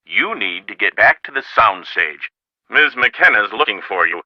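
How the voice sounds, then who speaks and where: A man's voice: fairly low pitch, expressive, moderate pace, no room sound, dull audio, close to an everyday voice. A man playing a scheming, self-important film producer in a video game, speaking in a formal, emphatic, theatrical way. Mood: puzzled, curt.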